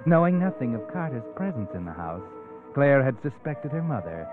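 An American actor playing a mysterious, sardonic crime narrator in a 1940s radio drama, knowing and teasing. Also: male voice, medium pitch, close to an everyday voice. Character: mysterious, sardonic male narrator who knows dark secrets. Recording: tinny band-limited 1940s radio recording, music. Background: music.

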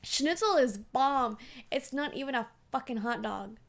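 A woman's voice: high-pitched, a little slow. A woman in her thirties with a Filipino accent, co-hosting a casual podcast in a blunt, playful, swearing way. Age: 30s. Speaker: podcast co-host.

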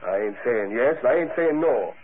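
Blunt, slangy old-radio police sergeant played by an actor. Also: male voice, mid-range voice, expressive, fairly quick, lightly acted. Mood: matter-of-fact. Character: plain-spoken, folksy male police sergeant. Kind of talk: old-time radio drama acting. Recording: muffled, noisy old radio recording.

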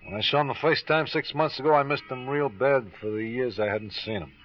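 An actor in a 1950s radio crime drama playing a boozy, rueful ex-husband suspected of murder, needling and slangy. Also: male voice, medium pitch, steady tone, fairly quick, natural voice. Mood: bitter, hurt. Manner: sardonic, slangy, over-obliging. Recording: dull lo-fi old radio recording.

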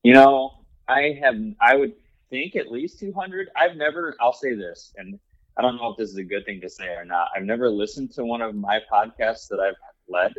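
A man's voice: mid-range voice, normal speed, no room sound, lo-fi sound. A man guesting on a hunting podcast, talking in an easygoing, hesitant way with lots of ums and likes. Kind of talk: casual podcast conversation.

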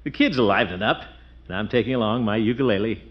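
A middle-aged man playing a pompous, blustering bachelor uncle in a 1940s radio sitcom, with booming chuckles. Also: quick pace, close to an everyday voice. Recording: dull old radio recording, faint hiss.

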